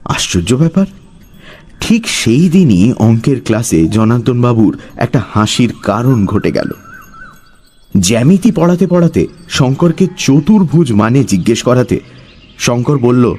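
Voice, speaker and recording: A man telling a story as a dramatic, scripted narration in a husky voice. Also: medium pitch, sing-song, a little slow, a little mellow.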